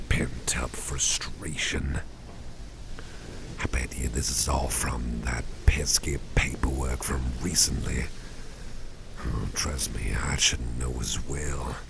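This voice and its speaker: A man performing a gentle ASMR roleplay as a caring boss, half-whispering in a soothing, folksy, unhurried way with a breathy voice. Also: noisy background. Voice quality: breathy, very low gravelly voice with soft whispering. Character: caring, doting male boss soothing a sleepy employee.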